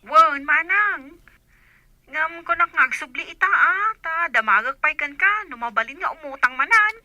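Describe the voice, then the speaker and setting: A woman playing an excitable, gossipy village shop woman in an Ilocano radio comedy drama, with an Ilocano accent. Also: sits high, unhurried, full character voice. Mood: excited, teasing.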